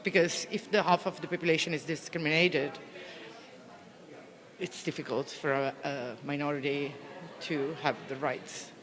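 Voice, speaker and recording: A woman police officer from Italy with an Italian accent, speaking English haltingly with many restarts as she searches for words. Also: low voice, fairly even intonation, big-room sound, crowd noise. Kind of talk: interview answers, conference delegate.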